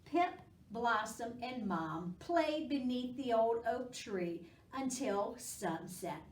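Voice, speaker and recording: Expressive, slow-paced woman storytime host. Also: fairly high pitch. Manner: expressive, coaxing, addressing young children. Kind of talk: children's storytime read-aloud and chat. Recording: echoey room, distant microphone.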